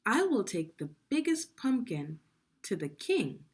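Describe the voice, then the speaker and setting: A woman's voice: on the high side, sing-song, measured pace, slightly rough, voice pushed up, full character voice. A woman voicing the earnest, hardworking youngest brother in a children's storybook, speaking in emphatic declarations. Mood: proud.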